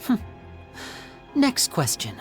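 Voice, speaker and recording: A man's voice: slow pace, soft background music, lightly acted. A man voicing a young stage magician who questions a suspect coolly, probing and lightly mocking. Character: young male stage magician interrogating a villain. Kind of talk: video game voice acting.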